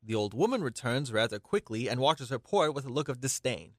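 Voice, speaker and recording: A man's voice: mid-range voice, sing-song, a little fast, no echo, studio quality. A man as narrator, reading scripted stage directions for a radio play. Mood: matter-of-fact.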